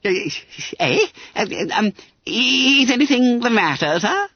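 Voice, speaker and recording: A plump, bumbling schoolboy from a comic storybook. The voice jumps high and swings wildly, stammering and spluttering with wounded indignation. The sound is a little dull. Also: male voice, very animated, fairly quick, husky, no echo, exaggerated voice. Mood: nervous stammering.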